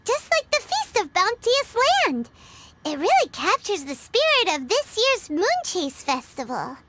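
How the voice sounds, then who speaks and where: An actress playing a cheerful, energetic young female chef in a game cutscene, bubbly and excitable. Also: extremely high pitch, a little slow, heavy background noise, exaggerated voice. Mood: excited. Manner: bubbly, excitable, sing-song.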